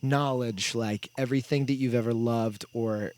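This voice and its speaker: A man's voice: medium pitch, fairly even intonation, moderate pace, a little mellow, clear, resonant tone. A man hosting a casual podcast conversation, earnestly explaining his points in long, loosely worded runs. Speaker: podcast host.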